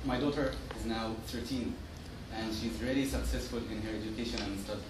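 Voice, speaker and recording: Guy doing a motivational speech, short simple lines, unhurried, far from mic w noisy background. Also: fairly low pitch, steady tone. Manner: deliberate short simple sentences with pauses. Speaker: club member giving a speech. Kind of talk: prepared motivational storytelling speech. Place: meeting room, recorded from a distance. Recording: echoey room, distant mic, noisy.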